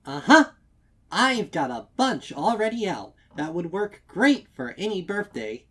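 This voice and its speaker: Storybook casting: male reader as anxious young mare minding a shop, high-pitched, loud, overeager and straining to stay cheerful. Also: big pitch swings, measured pace, full character voice. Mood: forced cheer. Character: anxious young female pony shopkeeper, forced cheer.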